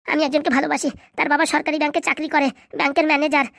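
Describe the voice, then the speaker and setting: Young cartoon dude, super fast slangy banter, high pitched-up voice, cheeky n excitable. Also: male voice, vocal fry, no room sound, studio quality, exaggerated voice. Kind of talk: comedy cartoon dubbing in colloquial rural dialect.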